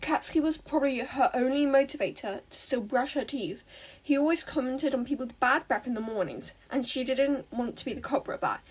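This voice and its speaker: A woman's voice: on the high side, breathy edge. A woman reading fan fiction aloud as a scripted story narration.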